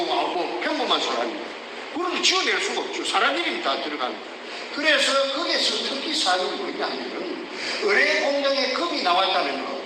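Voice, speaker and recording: An older man speaks in a thick Gyeongsang accent, his high voice swinging widely as he argues with heat and fires off rhetorical questions. He sits well back from the mic in an echoey room, with a steady noise underneath. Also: far from the mic. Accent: Gyeongsang Korean. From South Korea. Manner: heated and emphatic, repeatedly shouting rhetorical questions. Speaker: whistleblower. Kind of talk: emotional testimony.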